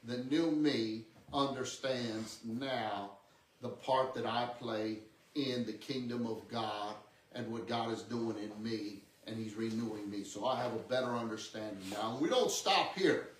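A man's voice: mid-range voice, measured pace, a little hiss or hum. Guy in his 60s preaching conversationally, keeps saying amen and okay, roomy sound, mic kinda far off. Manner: repeats words, seeks congregation's agreement with amen and okay. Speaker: pastor preaching. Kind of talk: conversational sermon teaching. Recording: echoey room, distant microphone.

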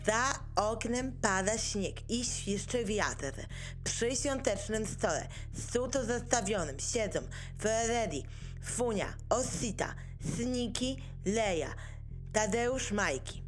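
A woman's voice: on the high side, lively intonation, slow, faint music behind, low-quality recording. A woman reading scripted narration for a radio play as its narrator.

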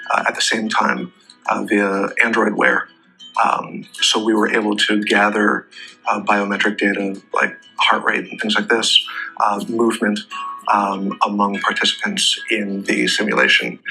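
Man, forceful bright edge, husky grain, halting delivery, business presentation, music underneath. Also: lowish voice, poor audio. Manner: halting, hesitant phrasing with frequent pauses. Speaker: presenter for a data technology company. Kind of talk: explanatory business talk.

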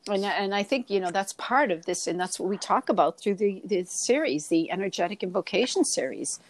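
A woman's voice: medium pitch, expressive, fairly quick, no room sound. Boston lady podcast host, keeps asking what u gonna do with it, dry sound. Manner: coaching, asks rhetorical questions, repeats herself. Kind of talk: reflective spiritual discussion.

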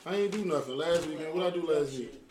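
A man's voice: on the high side, background noise. A man in his thirties, a podcast guest, chatting casually and laughing easily. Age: 30s. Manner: quick, loose, laughs easily. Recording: roomy and off-mic.

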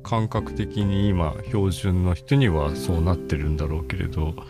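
A man's voice: deep voice, moderate pace. A middle-aged man chatting casually and reflectively as a podcast guest. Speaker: guest, band saxophone and flute player. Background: guitar music.

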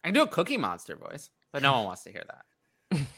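A man's voice: medium pitch, wildly swooping pitch, fast, fairly bright tone. A man hosting a casual sports talk show and fielding questions from a live chat.

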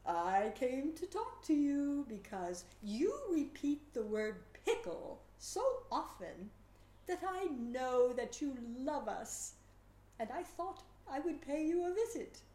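A woman's voice: high voice, sing-song, slow, dark tone, pitched above the performer's own voice, heavily acted. Slice, a woman doing a bubbly pickle. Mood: cheerful. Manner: bubbly, explanatory, bursts into song. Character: cheerful chatty magic pickle slice. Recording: roomy echo.

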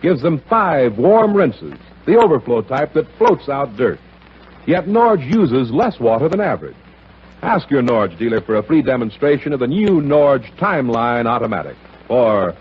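Vintage radio pitchman: man, husky, lively intonation, muffled old recording. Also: a little slow. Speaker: radio announcer. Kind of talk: 1940s radio advertising announcement. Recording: dull old radio broadcast.

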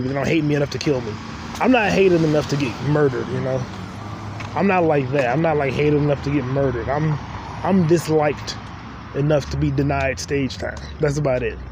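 A young man hosting a podcast, riffing loosely in a rambling, joking solo monologue while walking outdoors. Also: fairly high pitch. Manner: loose, joking, talks to himself. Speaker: podcast host. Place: outdoors on city streets. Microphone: phone recording while walking. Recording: street traffic noise behind.

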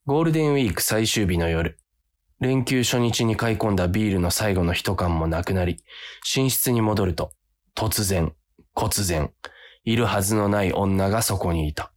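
An actor playing a weary, easily tempted office worker who narrates a comic radio drama with grumbling, self-deprecating drama. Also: male voice, on the low side, normal speed, lightly acted. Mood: wry narration.

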